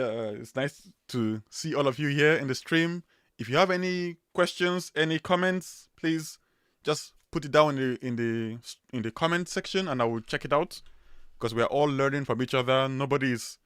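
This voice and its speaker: A man with a strong Nigerian accent hosts a casual livestream in a chatty, easygoing way, full of fillers and restarts. His voice sits at a middling pitch. The sound is dry and close, with no room echo. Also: a bit bright, clear, resonant tone. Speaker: livestream host.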